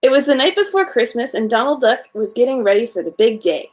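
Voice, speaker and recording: Female storyteller, higher-pitched voice, informal read-aloud of a children's bedtime tale, slightly unpolished delivery. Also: big pitch swings, fast talker.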